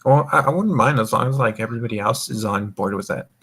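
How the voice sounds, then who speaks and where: A man's voice: medium pitch, fast talker, a bit bright, slightly rough. A young man from the American Mountain Time zone hosting a group voice call, tipsy, rambling and swearing, stumbling over his words. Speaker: voice call host. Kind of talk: casual group voice chat.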